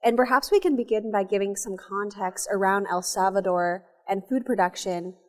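Podcast host, woman, earnest and measured, recorded with a long hall reverb. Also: mid-range voice, a little mellow. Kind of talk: reading ads and intro, then conversational interview. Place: cafe, live recording.

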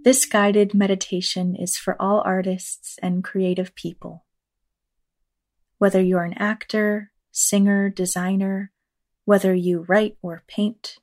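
A woman leading a guided meditation in a measured, unhurried manner, reading scripted lines with long pauses. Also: mid-range voice, darkish tone. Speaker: meditation guide, actor and teaching artist.

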